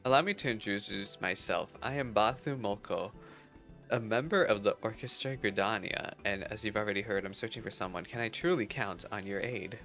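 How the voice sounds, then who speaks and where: A man's voice: fairly low pitch, fairly quick, a bit bright. A man reading aloud the game dialogue of a proud, earnest female cat-girl musician with a defensive streak. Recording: music behind the voice.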